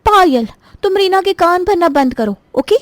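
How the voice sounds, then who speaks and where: A woman's voice: very high voice, expressive, moderate pace, no room sound. A woman voicing a proud, indignant, youthful elder sister-in-law in a Hindi cartoon story. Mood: scolding.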